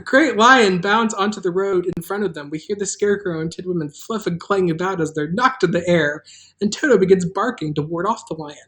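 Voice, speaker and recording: Female host-narrator, a touch low, informal, self-deprecating, for casual chat and script narration. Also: fairly quick, fairly bright tone. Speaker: writer and host, narrator of a table read.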